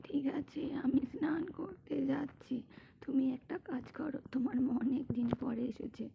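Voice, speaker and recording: A woman voicing a hearty, commanding older Bengali mother-in-law in a cartoon story, with a creaky voice. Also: high-pitched, moderate pace, narrow, tinny audio. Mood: welcoming. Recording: noisy track with clicks.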